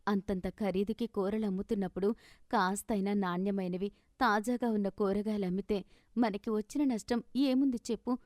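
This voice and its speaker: A mid-pitched, dry-recorded voice for a principled wife played by a female narrator. She is an exasperated cartoon character who nags and pleads with reproach. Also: fairly even intonation, normal speed, a little mellow, no echo, lightly acted. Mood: scolding, reproachful. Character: exasperated, principled wife scolding her greedy husband. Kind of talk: cartoon moral story character acting.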